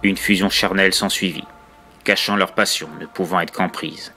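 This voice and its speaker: A man reciting a horror poem as a morbid, tormented young narrator, in a solemn, measured, literary way. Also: low-pitched, steady tone, sharp tone. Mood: grave, secretive. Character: morbid, tormented child narrator with murderous urges. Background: music.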